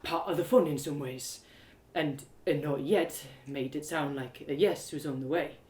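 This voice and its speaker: A woman's voice: low-pitched, normal speed, a little mellow, close to an everyday voice. A woman voicing a heartbroken, earnest young village man who confides in a hesitant, rustic way, for an audio drama. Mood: bittersweet. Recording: roomy echo of home live reading.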